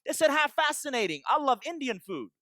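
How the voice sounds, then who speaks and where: A man's voice: very high voice, sing-song, a little fast, sharp tone, no echo, clean studio sound. A man from Iran giving a business keynote with jokes, often asking the audience "right?". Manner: tags lines with "right?" to the audience. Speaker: keynote speaker.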